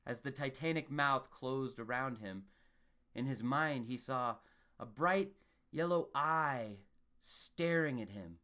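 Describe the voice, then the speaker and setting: A man's voice: mid-range voice, takes it slowly, bright, forceful tone. A man voicing the ominous narrator of a monster horror tale, reading in a grave, suspenseful way. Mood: grim suspense. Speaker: voice actor reading a horror story. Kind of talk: dramatic scripted horror narration. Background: music and added sound effects.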